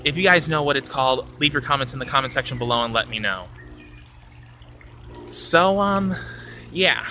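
Bright-voiced man in his thirties for a casual outdoor vlog, earnest and rambling, phone held at arm's length, faint traffic behind. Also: mid-range voice, lively intonation, moderate pace, long pauses. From Florida. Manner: rambling, earnest, self-correcting. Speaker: vlogger. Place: outdoors by a church on a sunny day. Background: faint traffic and outdoor ambience.

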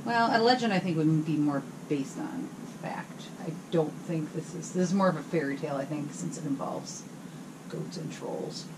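A middle-aged woman reading a fairy tale aloud in a bedroom, steady and explanatory. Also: deep voice, normal speed, noisy background. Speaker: storyteller. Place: hotel bedroom, indoors.

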